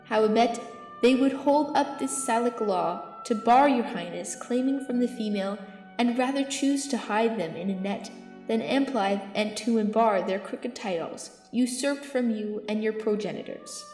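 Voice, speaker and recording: A woman's voice: a little high, moderate pace, darkish tone, natural voice. A woman acting a learned, earnest archbishop in an amateur Shakespeare audio drama, with formal, declamatory delivery. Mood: earnest, lecturing. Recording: echoey hall, music behind.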